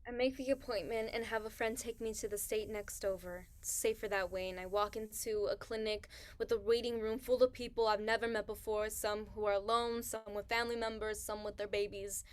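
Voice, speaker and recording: An actress in a staged reading playing a grieving young pregnant woman who talks in halting, hushed phrases. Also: mid-range voice, level tone, natural voice. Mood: hushed grief. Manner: halting, hushed, searching for words.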